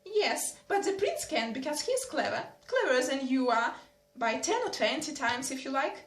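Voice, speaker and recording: A woman reading a teasing, lively young noblewoman. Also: high voice, moderate pace, soft background music. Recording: roomy echo.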